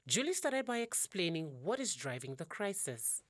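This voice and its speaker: A woman news presenter interviewing a correspondent in a measured way. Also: on the low side, big pitch swings, a bit bright, no room sound, clean studio sound. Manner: measured, asks long questions. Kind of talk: broadcast interview.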